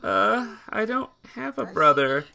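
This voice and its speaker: A man's voice: sits high, very animated, slow pace, creaky, bright, forceful tone, voice pushed up, heavily acted. A man voicing a groggy, naive teenage girl in a visual novel, hesitant and full of startled questions. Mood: groggy, confused.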